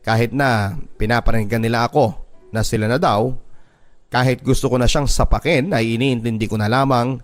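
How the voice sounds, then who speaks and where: A man's voice: medium pitch, steady tone, normal speed. Male radio drama narrator over music. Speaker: radio drama narrator reading a listener's letter. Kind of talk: scripted first-person dramatic narration.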